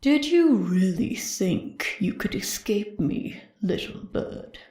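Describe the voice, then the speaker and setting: Audiobook inner tormentor, woman performer: eerie, menacing, chant-like, unhurried, mid pitch, drenched in echo. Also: very animated, warm tone. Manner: slow, eerie, chant-like delivery. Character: haunting, menacing remembered voice taunting a young woman. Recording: echoing voice effect.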